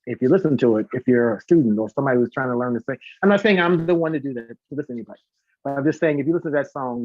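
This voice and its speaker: A man's voice: medium pitch, dry recording. Man, casual interview guest, mid-range voice, talkative storyteller, acts out quotes, dry room, dull lo-fi sound. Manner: talkative, animated storytelling with acted-out quotes and demonstrations. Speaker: guest, singer and voice teacher. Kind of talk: casual live chat interview.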